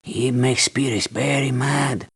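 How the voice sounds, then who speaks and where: A man playing an earnest, wary island native guide in a video game, speaking gravelly, simple broken English. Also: mid-range voice, fairly even intonation, slow pace, full character voice. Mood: earnest warning.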